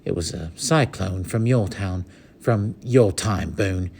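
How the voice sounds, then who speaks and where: A man's voice: sits low, slow pace, darkish tone, slight background noise. A man voicing an earnest, anxious young boy.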